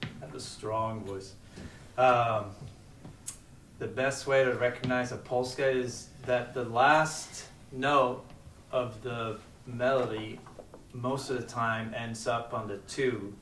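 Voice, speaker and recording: A man's voice: medium pitch, fairly even intonation, slow, a bit bright. Distant man explaining music. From Sweden. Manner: patient, explaining with counting. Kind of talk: casual stage banter at a concert. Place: living room at a house concert. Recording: roomy, distant, faint noise.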